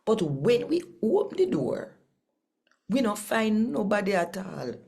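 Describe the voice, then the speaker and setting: A woman with a Jamaican accent hosting and reading scripture in an expressive, deliberate storytelling manner. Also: mid-range voice, creaky. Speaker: host and scripture reader.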